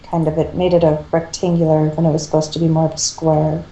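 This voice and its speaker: Woman, casual chat, soft dark timbre, lowish pitch, roomy echo. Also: monotone, quick pace. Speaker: fan fiction reader.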